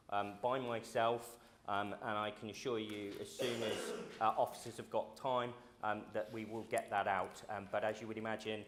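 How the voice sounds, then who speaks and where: A man councillor answering a question at a meeting, even and reassuring with many ums. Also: fairly low pitch, slight background noise.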